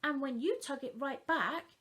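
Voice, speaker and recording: A woman reading the part of a calm, loving mother who gently and patiently reassures her young children in a storybook. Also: high voice, measured pace. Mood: soothing.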